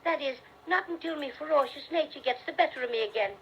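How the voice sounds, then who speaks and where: Cinnamon Bear, a gravelly little Irish teddy bear. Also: male voice, extremely high pitch, fast talker, a little hiss or hum. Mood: friendly. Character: small cheerful male teddy bear, slightly Irish. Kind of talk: 1930s radio play acting. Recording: dull lo-fi vintage radio recording.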